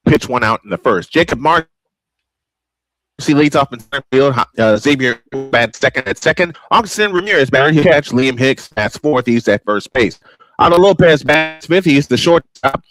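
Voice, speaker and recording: A man's voice: medium pitch, fairly bright tone, rough recording. A man doing old-school baseball play-by-play commentary as the host of a broadcast.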